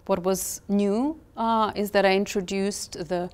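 Croatian-accented woman with a clear voice. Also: mid-range voice, fairly even intonation. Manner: thoughtful, with many fillers and gentle pauses. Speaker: visual artist. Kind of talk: reflective interview talk about her art. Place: gallery, indoors, in front of artworks.

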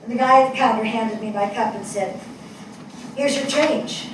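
A woman in her sixties playing an earnest, shaken New Yorker in a deliberate, searching dramatic monologue read on stage. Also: fairly high pitch, lightly acted. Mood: reflective, somber. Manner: deliberate, searching, with rhetorical questions and dramatic pauses. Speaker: stage actor in a dramatic reading. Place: small theatre stage before an audience. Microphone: far camera. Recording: echoey theatre, distant mic, noisy.

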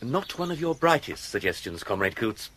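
Urbane wordy english gent, radio drama, lively forceful voice. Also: male voice, medium pitch, normal speed, a little hiss or hum. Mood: suave, mocking. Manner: verbose, politely mocking. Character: urbane, unflappable English gentleman impostor. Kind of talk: 1980s radio drama acting.

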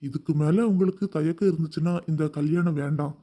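A woman's voice: low-pitched, little pitch movement, normal speed, dark tone, voice pushed down. A woman voicing a scheming young man who pleads in a falsely sweet, earnest way. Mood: pleading.

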